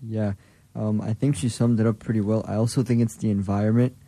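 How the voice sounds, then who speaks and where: Flat, dark-voiced young man. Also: fairly low pitch, fairly quick, gravelly, no room sound. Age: young adult. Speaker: radio show guest.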